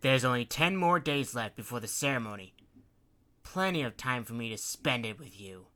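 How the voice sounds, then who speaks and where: A man voicing a scheming female cat queen who coos and taunts in a hushed, silky way. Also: medium pitch, lively intonation, moderate pace. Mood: possessive.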